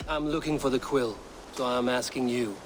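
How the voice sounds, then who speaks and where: A man acts a stern Viking raider in a game, with a mid-pitched voice. He speaks in terse, measured, menacing phrases over loud ambient noise. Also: fairly even intonation, natural voice. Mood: probing, stern.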